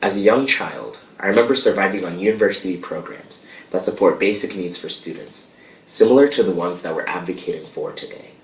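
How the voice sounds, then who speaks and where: Young male student leader, low voice, earnest and measured formal address, roomy sound. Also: animated. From United States. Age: young adult. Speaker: student association president. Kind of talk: prepared formal speech to a board. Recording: distant, echoey remote audio.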